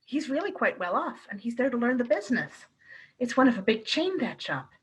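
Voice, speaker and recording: A woman's voice: fairly high pitch, sing-song, brisk, husky, full character voice. A woman playing a fond young wife in a radio play, speaking in a light, teasing, indulgent way. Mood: amused, fond. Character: fond, amused young wife looking after a boy.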